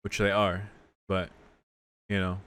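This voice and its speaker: A man's voice: low voice, completely flat delivery, takes it slowly, fairly bright tone, clear, resonant tone, no echo. A man doing a casual, reflective let's play of a visual novel, commenting and reading the text aloud. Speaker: streamer playing a visual novel. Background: game music.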